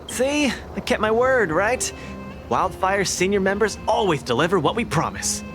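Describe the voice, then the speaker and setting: Cocky, animated young champion fighter. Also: male voice, high-pitched, moderate pace, music in the background. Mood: proud, upbeat. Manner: boastful, theatrical, eager. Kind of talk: animated video game voice acting.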